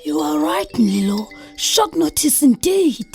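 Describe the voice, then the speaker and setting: Imperious creator spirit, South African accent, high pitch, gravelly edge, children's audio drama. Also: female voice, big pitch swings, measured pace, a little mellow, faint music behind, full character voice. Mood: solemn.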